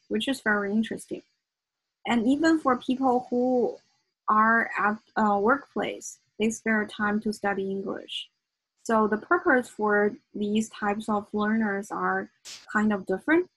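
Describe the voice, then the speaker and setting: Woman, lecturer, breathy voice, dry recording. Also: medium pitch, no echo, poor audio. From China. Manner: frequent ums and self-corrections. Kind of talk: academic presentation.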